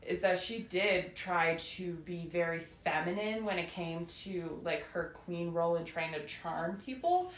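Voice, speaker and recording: Young woman podcaster, casual history storytelling, slangy, irreverent, very lively pitch, roomy echo. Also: unhurried, few pauses. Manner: irreverent, giggly, sweary. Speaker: podcast host. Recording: roomy, echoey sound.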